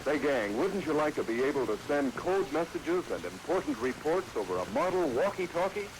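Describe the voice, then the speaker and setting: Man, 1940s radio pitchman, bouncy lively delivery, hissy old broadcast. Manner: peppy, salesman-like. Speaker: radio ad announcer.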